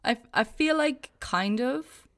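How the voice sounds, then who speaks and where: A woman's voice: on the high side, expressive, measured pace. Easygoing Irish woman reviewing a film. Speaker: podcast co-host. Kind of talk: casual film review chat.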